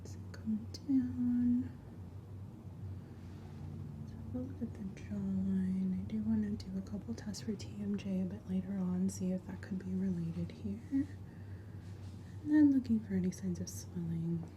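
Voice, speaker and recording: A soft-spoken woman who often drops into a breathy whisper, gently explaining each step as a medical examiner in an ASMR roleplay. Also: mid-range voice, measured pace, pauses often, noisy background.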